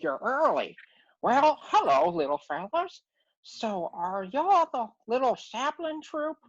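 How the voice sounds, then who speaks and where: Woman as a high-pitched, flustered cartoon bird. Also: sing-song, slow pace, creaky, no room sound, highs cut off, exaggerated voice. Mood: surprised, friendly.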